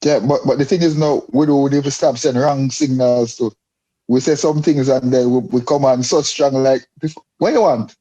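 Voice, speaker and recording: A man's voice: mid-range voice, fairly even intonation, normal speed, mellow, poor audio. A man with a Jamaican accent chatting casually in a group, emphatic and repeating his phrases. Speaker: podcast panelist.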